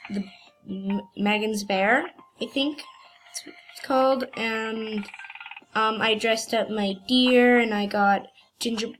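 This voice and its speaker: A woman's voice: fairly high pitch, steady tone, slow pace. A woman with a cold gives rambling, hesitant commentary while playing a computer game, with faint game music behind her. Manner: hesitant and rambling, many fillers. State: has a cold. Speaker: gaming channel host. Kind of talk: casual gameplay commentary. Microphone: computer screen recording.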